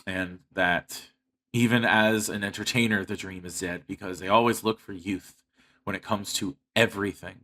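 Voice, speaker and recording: A man's voice: on the low side, fairly even intonation, normal speed, pushed and bright. A man of thirty speaking earnestly and with heavy swearing in a personal monologue to his online audience. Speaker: online video creator making comic dubs and skits.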